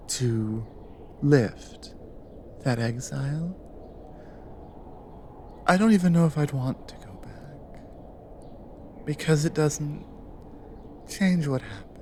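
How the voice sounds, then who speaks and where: A woman playing an exiled cougar guardian in an intimate ASMR audio drama, lonely and wary yet flirtatious, speaking haltingly in murmurs and whispers. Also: deep voice, lively intonation, mellow, slight background noise, exaggerated voice. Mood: cautious hope. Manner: slow, halting, breathy murmurs dropping into whispers.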